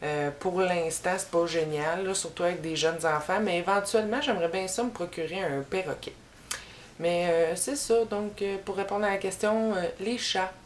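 A young woman with a Québécois French accent, chatting casually to camera as she answers tag questions, rambling and pausing on fillers. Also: fairly low pitch, a little hiss or hum. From Quebec. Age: young adult. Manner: rambling and unhurried, with many filler pauses. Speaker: YouTuber. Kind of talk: casual tag video chat. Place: small room with a bookshelf behind her. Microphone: camera in front at head-and-shoulders framing.

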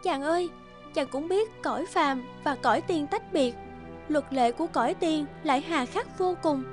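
An actress playing a gentle young fairy wife in an animated folktale, speaking tenderly and formally. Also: female voice, high voice, moderate pace, music in the background, close to an everyday voice. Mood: worried, warning.

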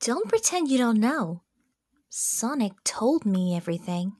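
A woman's voice: a little high, sing-song, unhurried, darkish tone, no echo, clean studio sound. A woman voicing a sweet, bubbly young female hedgehog in a cartoon comic dub, cheerful and affectionate. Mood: warm, kind.

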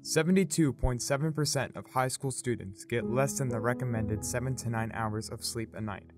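A man's voice: mid-range voice, normal speed, over music. A man reading a scripted public service announcement as an announcer.

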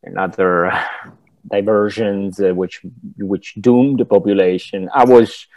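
A man in his forties from Italy with an Italian accent, a film director giving reflective interview answers on a video call through wired earphones, thoughtful and halting as he searches for words. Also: fairly low pitch, fairly even intonation, darkish tone, lo-fi sound. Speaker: film director, masterclass guest. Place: home room, indoors. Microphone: wired earphones with mic on a video call.

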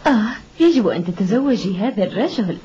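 A woman's voice: mid-range voice, expressive, a little fast, mellow, slightly rough. An actress playing a kindly, motherly village woman in a dubbed cartoon, firm and caring. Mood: insistent. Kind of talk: dubbed cartoon acting in formal Arabic. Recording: muffled old cartoon soundtrack with background noise.

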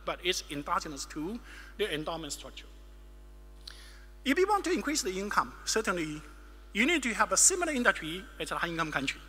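A middle-aged man giving an academic keynote lecture at a podium microphone in a large ornate hall, speaking emphatically in short measured phrases. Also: high voice, wildly swooping pitch, pushed and bright, slight background noise. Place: large ornate wood-panelled hall, indoors.

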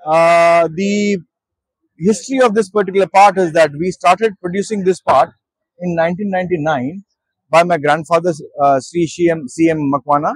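A man in his thirties with an Indian English accent, a company director walking an interviewer through his products at an exhibition stall and pausing with fillers as he goes. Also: fairly high pitch, fairly even intonation, husky, no room sound, low-quality recording. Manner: hesitant, with many fillers and restarts. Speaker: managing director at a trade show. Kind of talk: guided product walkthrough. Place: busy exhibition hall stall.

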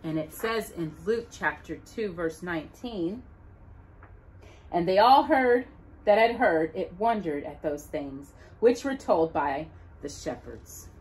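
A middle-aged woman hosting a children's storytime, reading aloud in an expressive, deliberate, dramatic way with emphatic pauses. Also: fairly low pitch, poor audio. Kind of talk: expressive read-aloud of Bible verses and a children's Christmas book. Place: living room at home, indoors by a brick fireplace.

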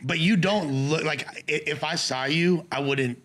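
A man with a bright, sharp voice hosting a podcast in a studio lounge. He teases and rambles, piling on fillers and restarts, his pitch sitting a bit high. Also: a little slow. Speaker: host. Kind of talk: casual interview chat.

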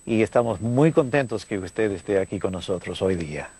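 A man's voice: lowish voice, expressive, normal speed, darkish tone, husky, no room sound. An older man, a pastor hosting a television interview, affirming his guest often. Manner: affirming, frequent agreement. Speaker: pastor, TV host. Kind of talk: studio interview. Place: TV studio. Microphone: lapel.

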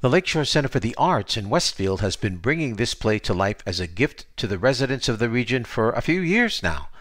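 A man's voice: mid-range voice, big pitch swings, fairly quick, a bit bright. An older American man hosting an arts podcast, announcing and interviewing.

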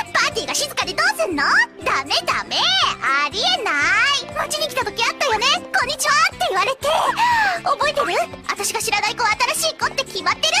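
A woman voicing a bubbly, excitable young female party pony in a cartoon dub, rambling breathlessly. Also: very high-pitched.

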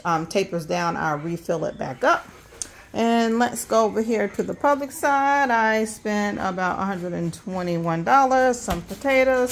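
A woman's voice: medium pitch, a little hiss or hum. Chatty woman hosting a grocery haul. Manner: chatty, many ums, talks fast. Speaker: host of a budget vlog. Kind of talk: casual grocery haul vlog. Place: indoors at a home kitchen counter. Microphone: handheld phone filming items.

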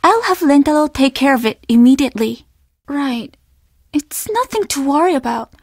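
A woman's voice: sits high, expressive, moderate pace, dry recording, studio quality. A woman voicing an earnest, apologetic young innkeeper at a Japanese inn in a video game, speaking with formal politeness and an undertone of trouble. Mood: reassuring, firm. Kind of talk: video game character dialogue.

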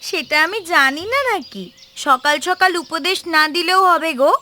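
Woman acting a high, bright young village wife. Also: normal speed, a little hiss or hum. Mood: teasing, chiding. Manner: affectionate scolding, teasing. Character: doting, sharp-tongued young village wife, expecting a child.

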